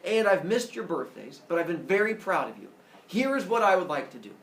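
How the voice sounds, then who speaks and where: Casting: man playing grand, solemn giant sun god for a myth tale, forceful and booming, roomy sound. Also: very animated, brisk, exaggerated voice. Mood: solemn, proud. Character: mighty, gigantic male sun god, solemn proud father. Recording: roomy, distant mic, faint noise.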